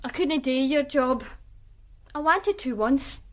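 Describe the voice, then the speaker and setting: A guarded, streetwise Glasgow woman with a broad Glaswegian accent in a radio play. Her voice sits a little high, and she answers questions in a dry, sardonic, plainspoken way that gives little away. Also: slow pace. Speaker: witness, flatmate of the victim. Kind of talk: radio drama acting.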